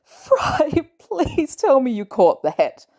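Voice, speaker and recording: A woman's voice: high voice, huge pitch swings, extremely slow, darkish tone, voice pushed up, exaggerated voice. A woman voicing a sarcastic, exasperated male inventor with a wry, informal manner in an audio story. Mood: breathless amusement.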